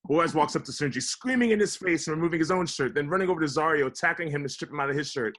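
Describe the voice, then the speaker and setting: A man's voice: on the high side, lively intonation, fast, a bit bright. A man narrating scripted stage directions for a table read. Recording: online video call table read.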